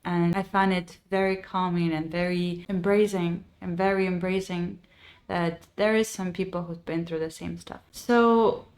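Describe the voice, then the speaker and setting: A woman's voice: on the low side, steady tone, unhurried, darkish tone. Woman host from Ukraine, thirties, earnest and reflective solo storyteller with phrasing. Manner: earnest and reflective, with frequent restarts and non-native phrasing. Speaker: podcast host and life coach. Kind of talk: personal storytelling monologue.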